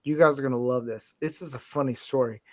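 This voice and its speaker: A man from the American Midwest with an American accent, chatting casually and telling anecdotes in an animated way as a podcast host. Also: medium pitch, fast talker. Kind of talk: casual anecdotal group chat.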